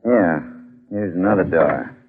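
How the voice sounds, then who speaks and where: Courteous museum professor, lowish voice, lively inflection, cautioning, practical, old radio drama. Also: male voice, measured pace, a little hiss or hum. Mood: genial, practical. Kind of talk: 1940s radio drama acting. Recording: tinny, band-limited old radio recording.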